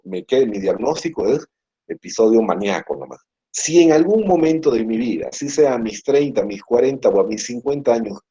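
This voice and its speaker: A man's voice: mid-range voice, dark tone, slightly rough. A man with a Peruvian Spanish accent giving a university lecture in an explanatory tone, often ending phrases with a tag question. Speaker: psychiatry lecturer. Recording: muffled lo-fi classroom recording.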